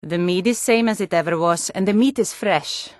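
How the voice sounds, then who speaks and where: A woman's voice: fairly low pitch, moderate pace, no echo, studio quality, natural voice. An actress playing a tough, blunt Nordic warrior woman in a fantasy video game, speaking firmly with a Nordic accent. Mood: friendly. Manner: firm, blunt.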